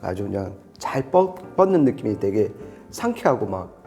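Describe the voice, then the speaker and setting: A man's voice: lowish voice, very animated, moderate pace, vocal fry, warm tone, soft background music. A man reviewing audio gear, explaining it conversationally to a co-presenter.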